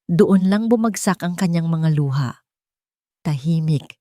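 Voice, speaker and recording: A woman's voice: deep voice, expressive, a little slow, dark tone, no echo, studio quality. A woman reading a scripted dramatic story as its narrator.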